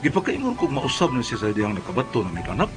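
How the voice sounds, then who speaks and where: A man's voice: medium pitch, wildly swooping pitch, fast talker, music in the background. A man playing a mild, long-suffering husband and exasperated father, narrating and acting in a comedic radio drama. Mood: resigned, confiding.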